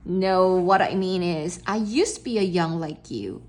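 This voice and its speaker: A woman's voice: on the low side, a little slow, darkish tone, natural voice. A woman voicing an easygoing, kindly male school principal in a children's storybook, patient and chatty as she explains. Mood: frank, confiding.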